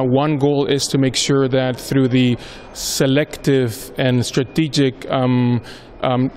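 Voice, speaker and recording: A man's voice: mid-range voice, darkish tone, a little hiss or hum. A man in his thirties from Honduras, a native Spanish speaker with an American-schooled accent, answering interview questions conversationally with frequent fillers. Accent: American-schooled Honduran English. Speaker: strategy manager at a postal company.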